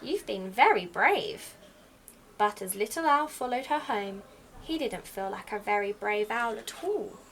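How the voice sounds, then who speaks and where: A woman reading a children's storybook aloud as an expressive storyteller. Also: mid-range voice, moderate pace. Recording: faint background noise.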